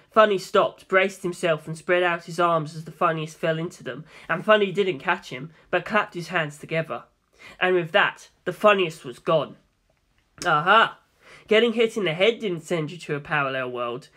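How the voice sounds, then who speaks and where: Bright, high-voiced man narrating a story. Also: moderate pace. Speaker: audiobook narrator. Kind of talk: scripted first-person story narration.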